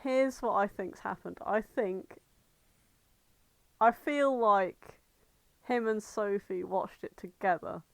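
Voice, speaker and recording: A woman's voice: mid-range voice, slow pace, vocal fry, long pauses, dry recording. A woman co-hosting a podcast in casual, unscripted chat, informal and rambling, with some swearing. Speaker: podcast co-host.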